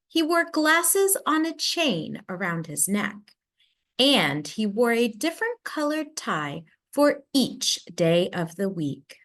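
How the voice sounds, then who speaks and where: A woman reading a children's story aloud, narrating expressively with deliberate, dramatic emphasis. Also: mid-range voice, a bit bright.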